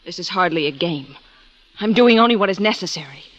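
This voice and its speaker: A woman's voice: lowish voice, expressive, a little fast, no echo, lightly acted. An actress playing a small, menacing mystery woman in a 1950s radio drama, formal and controlled. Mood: coldly commanding. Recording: muffled vintage 1950s radio recording.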